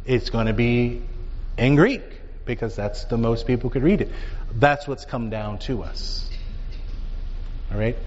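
Man, Bible teacher, animated lecture, rhetorical questions, dull sound, long reverb. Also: medium pitch, measured pace, pauses often, slight background noise.

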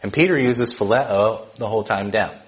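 A middle-aged man from Georgia gives a teaching sermon. His delivery is conversational. He keeps tagging his points with quick questions to his listeners. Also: fairly low pitch, rough recording. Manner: conversational, keeps asking "right?" to the room. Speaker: preacher.

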